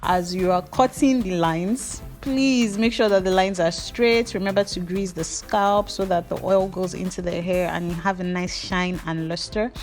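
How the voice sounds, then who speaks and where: A young Nigerian woman with a Nigerian accent, a hair tutorial vlogger, mixes slow step-by-step instructions with playful, gossipy storytelling. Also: fairly low pitch, soft background music. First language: Yoruba. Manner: animated, playful, jumps between slow instructions and gossipy asides.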